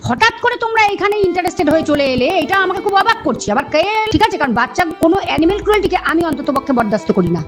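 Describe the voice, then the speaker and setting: Woman, very high pitch, rapid pace, defensive and insistent, heated phone conversation. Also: sing-song. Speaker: animal rescuer. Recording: phone call recording with music underneath.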